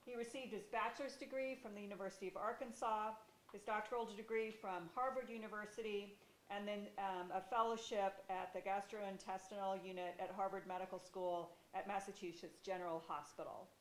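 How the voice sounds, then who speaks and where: A woman's voice: mid-range voice, steady tone, a little fast. A woman hosting the event who steadily reads a prepared, formal introduction of the featured speaker. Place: lecture hall. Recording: echoey hall, faint room noise.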